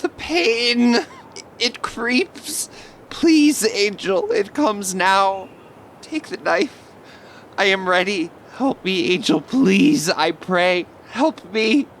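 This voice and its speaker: A woman playing a frail, suffering innocent in an audio drama, pleading in a halting, pained way. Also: mid-range voice, lively intonation. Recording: faint background noise.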